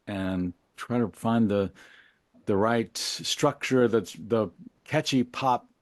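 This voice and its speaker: A middle-aged man talking reflectively as an interview guest, in a halting, musing, colloquial way about his creative work. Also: mid-range voice, dry recording, studio quality. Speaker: interview guest, musician and memoir author.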